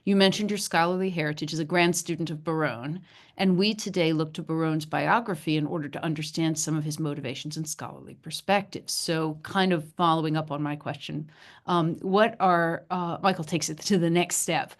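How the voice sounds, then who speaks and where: Female moderator, very low voice, measured, hesitant delivery of a question. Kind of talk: reading out an audience question.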